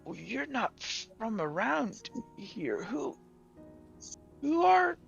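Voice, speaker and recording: A man's voice: extremely high pitch, sing-song, takes it slowly, vocal fry, lo-fi sound, soft background music, pitched above the performer's own voice, heavily acted. A man acting a worried, wary father in a fantasy tabletop game, questioning urgently. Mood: suspicious. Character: worried father, cautiously searching for his children.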